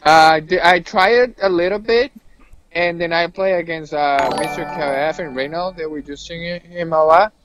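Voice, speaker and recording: A man chatting casually as a guest player, giving game opinions in a halting way with repeated, restarted phrases, over lo-fi voice chat. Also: a little high, noisy background. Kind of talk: casual unscripted game discussion.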